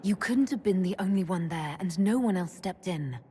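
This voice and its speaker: Game elf mage, lowish slightly husky voice, measured, kindly, dry humor, long hall reverb. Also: female voice, animated, warm tone. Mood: gentle, concerned. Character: calm female elf mage hero, kindly, drily amused. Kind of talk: video game dialogue acting.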